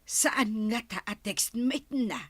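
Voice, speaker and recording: A woman's voice: a little high, slow pace, fairly bright tone, no echo, clean studio sound. An actress playing a curious, meddling married village woman in a radio comedy drama.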